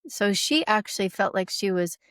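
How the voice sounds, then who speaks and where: A woman's voice: medium pitch, lively intonation, moderate pace, a bit bright, no room sound, clean studio sound. A woman guest on a podcast speaking informally and earnestly as a healer, sharing stories and testimonials in a conversational way. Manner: earnest, informal, frequent "like" and "right?". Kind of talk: conversational storytelling, reading out testimonials.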